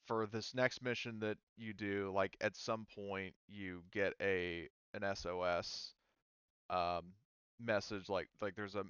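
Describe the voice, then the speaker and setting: Smooth low-voiced man running a game. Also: a bit bright, no room sound, dull audio. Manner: explanatory, informal. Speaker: game master. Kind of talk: casual tabletop roleplaying game talk.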